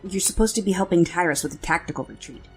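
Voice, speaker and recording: An actor playing a stern, stuffy young warrior who commands and scolds tersely in an audio drama. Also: male voice, high voice, lively intonation, fast talker, soft background music. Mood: exasperated.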